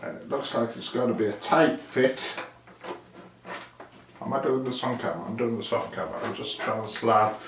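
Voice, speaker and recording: A man's voice: medium pitch, normal speed. A man giving a casual hands-on demonstration, thinking aloud in a rambling, self-deprecating way. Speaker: amateur DIY video maker. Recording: echoey, distant mic with hiss.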